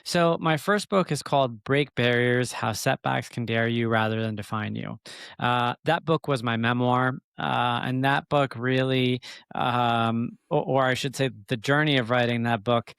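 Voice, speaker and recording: A middle-aged man telling his personal story as a podcast guest in an earnest, measured, reflective way, with frequent pauses. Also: mid-range voice, creaky, a bit bright, smooth, dry recording, studio quality. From born in Egypt, emigrated as a child.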